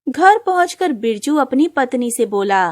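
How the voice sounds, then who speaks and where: A woman reading scripted narration for an animated story. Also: high-pitched, a little slow, no room sound, clean studio sound.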